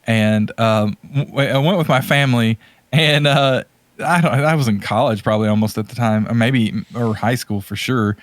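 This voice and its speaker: A man's voice: mid-range voice, moderate pace, no room sound. A man chatting casually as a podcast co-host, telling anecdotes in slangy, emphatic, exaggerated language. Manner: informal slangy speech, emphatic and hyperbolic.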